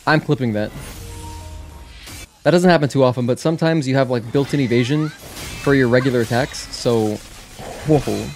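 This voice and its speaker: A man's voice: mid-range voice, normal speed, pauses often, a little mellow. A man giving casual livestream game commentary, chatting with viewers in a rambling way that breaks off to react and answer them. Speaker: livestreamer playing video games. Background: video game music.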